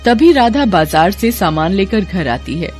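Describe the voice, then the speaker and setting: A woman's voice: mid-range voice, expressive, a little slow, a little mellow, soft background music. A woman working as narrator, reading a scripted Hindi moral story.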